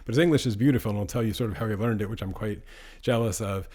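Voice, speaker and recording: A man's voice: lowish voice, very fast, darkish tone. Casual, chatty male podcast host. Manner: casual, chatty, with speeding-up asides. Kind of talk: podcast monologue and interview.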